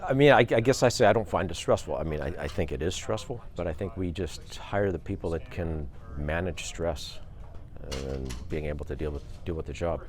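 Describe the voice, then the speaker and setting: A man's voice: low-pitched, expressive, fairly quick. A middle-aged man, an air traffic controller talking casually in an interview.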